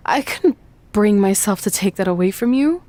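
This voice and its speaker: A woman's voice: mid-range voice, lively intonation, normal speed, dark tone, dry recording, close to an everyday voice. An actress playing a remorseful young woman wrestler and close friend, in sincere, conversational video game cutscene dialogue. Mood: apologetic, sincere.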